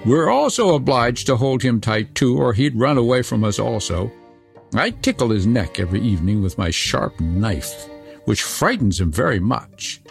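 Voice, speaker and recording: A man's voice: mid-range voice, very animated, moderate pace, creaky, faint music behind. A man voicing a fierce, wild little robber girl who talks in a bossy, threatening way. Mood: boastful.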